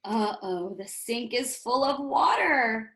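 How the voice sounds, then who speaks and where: A woman with a high voice reads a picture book aloud for young children. Her delivery is expressive and instructive, stepping out of the text to explain things and put little questions to her listeners. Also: takes it slowly.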